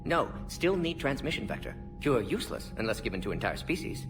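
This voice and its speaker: An actor playing a fast-thinking, clinical alien scientist in a video game, speaking in clipped, telegraphic phrases. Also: male voice, mid-range voice, sing-song, far from the mic, over music, lightly acted. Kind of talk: video game cutscene acting.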